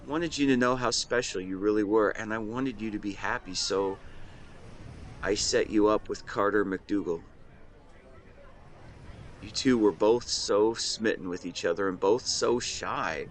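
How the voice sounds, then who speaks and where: Outdoor ambience sits faintly behind a lovestruck young man, as acted by a man with a lowish voice. He speaks earnestly and tenderly, in halting phrases, as in an intimate romantic audio drama. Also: slight background noise, natural voice. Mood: nostalgic, gentle. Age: young adult. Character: lovestruck 24-year-old man confessing love to his best friend. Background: seaside boardwalk ambience effects.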